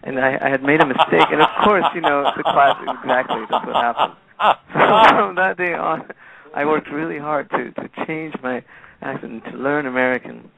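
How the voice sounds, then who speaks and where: A man's voice: a little high, moderate pace, gravelly, noisy background. A middle-aged man from Peru whose first language is Spanish, chatting thoughtfully as a musician guest and often restarting his words. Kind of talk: casual interview chat. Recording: tinny phone line.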